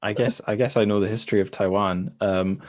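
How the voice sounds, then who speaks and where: A man's voice: fairly low pitch, steady tone, a little fast, no room sound. A Scottish man hosting a podcast interview in casual chat, rambling with self-deprecating asides. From Scotland. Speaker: podcast host.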